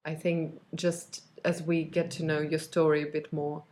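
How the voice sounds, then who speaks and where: A woman's voice: deep voice, little pitch movement, normal speed, a little mellow. A woman hosting a podcast, introducing her guest enthusiastically and asking questions in a casual way with frequent fillers. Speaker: podcast host. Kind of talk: casual interview.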